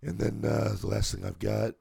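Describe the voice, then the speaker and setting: A man co-hosting a casual wrestling podcast, hoarse today, relaxed as he works through a list of dates. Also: low-pitched, moderate pace, no echo. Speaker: podcast co-host.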